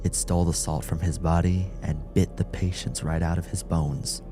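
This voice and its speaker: A man's voice: deep voice, flat delivery, moderate pace, dark tone, faint music behind. A man reading a scripted dramatic short story as an audiobook narrator.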